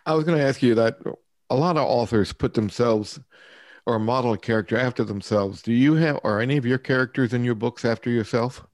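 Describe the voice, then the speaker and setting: A man hosting a podcast, asking questions in a friendly, halting way with many fillers. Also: mid-range voice, dry recording, clean studio sound. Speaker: podcast host. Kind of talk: interview questions.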